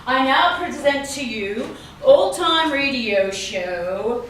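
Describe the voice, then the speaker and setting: A woman's voice: sits high, expressive, slow pace, a bit bright. A woman drama instructor and director giving an informal spoken introduction to an audience. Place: indoor venue with lobby, speaking to audience. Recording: very echoey room, distant mic, faint noise.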